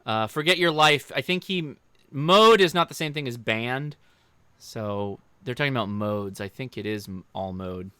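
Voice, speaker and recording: Bright, clear-voiced American man, casual streamer. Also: mid-range voice, normal speed, dry recording. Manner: informal, joking, addresses viewers directly. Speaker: livestream host. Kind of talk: casual livestream chat, answering viewer questions.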